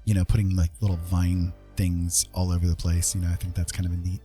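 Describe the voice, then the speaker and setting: A man livestreaming in a casual, rambling and gentle way. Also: sits low, completely flat delivery, fast. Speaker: live streamer and artist. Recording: music playing behind the voice. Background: music.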